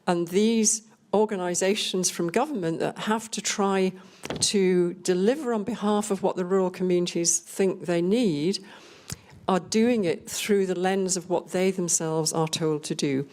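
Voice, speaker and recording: Older female panel speaker from Scotland, slightly low voice, measured and explanatory delivery. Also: darkish tone. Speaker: panel speaker on rural communities. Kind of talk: unscripted panel presentation.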